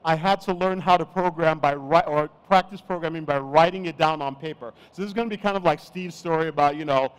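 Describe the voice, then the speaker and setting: Middle-aged man from Nigeria, conference speaker, easygoing and chatty, smooth voice. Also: on the high side, rough recording. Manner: relaxed, chatty, self-deprecating. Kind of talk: conference talk. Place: conference stage.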